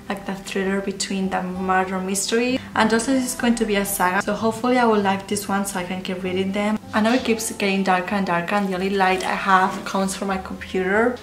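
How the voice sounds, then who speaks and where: A young woman from Spain with a Spanish accent, chatting casually about books on camera in a small room at home. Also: medium pitch. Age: young adult. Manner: chatty, gestures a lot with her hands. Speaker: book vlogger. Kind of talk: casual chat. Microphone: camera or laptop mic facing her. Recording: roomy echo with music underneath.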